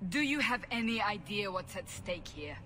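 An actress playing a stern, impatient holy warrior interrogator, commanding and confrontational in clipped phrases. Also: female voice, medium pitch, big pitch swings, moderate pace, pushed and bright, slight background noise. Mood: stern, suspicious. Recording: long reverb, faint music behind.

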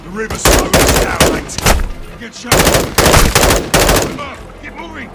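An actor playing an urgent, commanding special forces soldier in a military shooter game, barking clipped orders. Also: male voice, very high voice, animated, unhurried, heavy background noise, exaggerated voice. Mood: urgent shouting. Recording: loud action music and gunfire behind.

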